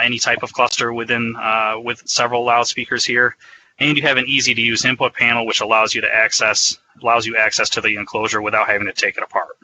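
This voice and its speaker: A man's voice: mid-range voice, bright, forceful tone, slight background noise, low-quality recording. A man giving an explanatory, conversational product presentation in a semi-scripted webinar style.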